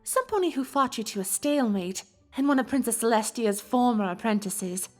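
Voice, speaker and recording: A woman's voice: on the high side, expressive, a little mellow, soft background music, lightly acted. A woman voicing an elegant, teasing cartoon unicorn socialite in a fan fiction audio drama, with an affected, genteel, gossipy delivery. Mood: knowing, teasing. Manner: affected, genteel, theatrical delivery with drawn-out words.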